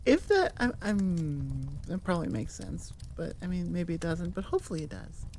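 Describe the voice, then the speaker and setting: A woman's voice: fairly low pitch, sing-song, moderate pace, a little mellow, slight background noise. A woman giving a casual, rambling outro as host of her audio channel, self-deprecating and wandering off on tangents at the microphone. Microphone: sitting at a computer microphone, recording into audio software.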